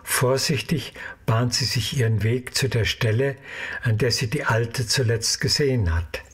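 Male narrator for an audio drama, medium pitch, husky texture, scripted storytelling. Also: unhurried.

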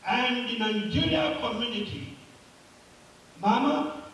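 A man's voice: extremely high pitch, slow pace, a bit bright, a little hiss or hum. An older man delivering a solemn, declamatory formal tribute at a funeral, recorded from a distance in a church. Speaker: speaker at a funeral. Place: large church sanctuary, at a pulpit. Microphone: far camera. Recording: very echoey church hall, distant mic.

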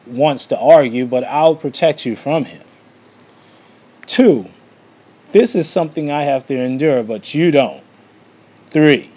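Slow, halting man reading aloud. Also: medium pitch, darkish tone. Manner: halting, often stumbles and rereads phrases. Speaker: reader of a book aloud. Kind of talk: amateur read-aloud of a book.

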